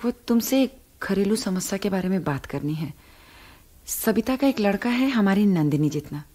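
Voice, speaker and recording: Actress as caring but reproachful wife in a radio play, very lively pitch, slightly husky. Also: female voice, moderate pace, natural voice. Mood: persuading, indignant. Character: middle-class wife and mother, caring, reproachful, principled. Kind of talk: Hindi radio drama acting.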